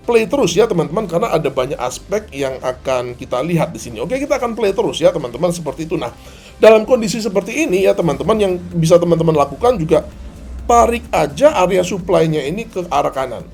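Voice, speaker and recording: A slightly hoarse man giving a tutorial as a trading instructor, didactic, often asking and answering his own questions. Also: high voice, big pitch swings, fast, a little mellow, soft background music. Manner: didactic, asks and answers own questions, addresses viewers as friends. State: hoarse, often clearing throat. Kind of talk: tutorial commentary on a chart replay.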